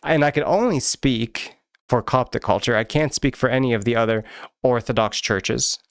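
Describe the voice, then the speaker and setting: A man's voice: mid-range voice, normal speed, dry recording. An American man hosting his own channel, giving candid, earnest commentary with touches of dry humor. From United States. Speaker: YouTube channel host. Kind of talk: personal commentary to camera.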